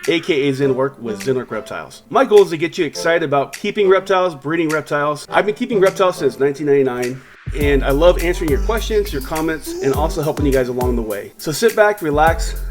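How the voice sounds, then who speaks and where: Energetic channel host reading a quick, welcoming intro over clear backing music. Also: male voice, on the high side. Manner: upbeat, welcoming.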